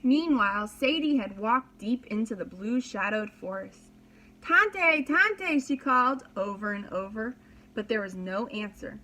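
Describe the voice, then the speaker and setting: A woman's voice: medium pitch, normal speed, fairly bright tone, a little hiss or hum, rough recording. A woman reading a children's story aloud as a preschool teacher, dramatically and expressively, with simple explanations for young listeners.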